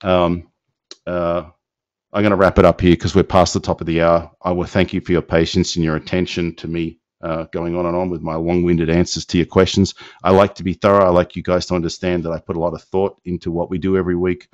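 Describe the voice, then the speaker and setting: A man's voice: low voice, flat delivery, a little fast, no echo. A man hosting a live business question-and-answer call, answering loosely with many fillers and restarts. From not from Indiana. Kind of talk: live Q&A webinar, casual. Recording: dull lo-fi dry audio.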